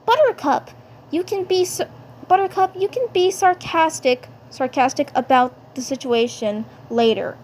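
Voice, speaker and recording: Lady voicing a high girly cartoon hero leader, bossy but caring, slow talking, some noise behind. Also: a little mellow. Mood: firm, chiding. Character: young cartoon superhero girl, bossy caring leader sister. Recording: faint background noise.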